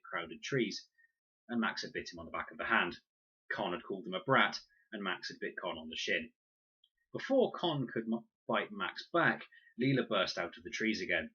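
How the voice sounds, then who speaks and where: A man's voice: low voice, sharp tone, slightly rough. A man narrating a children's adventure audiobook expressively, on a dull, lo-fi recording. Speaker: reader, narrator.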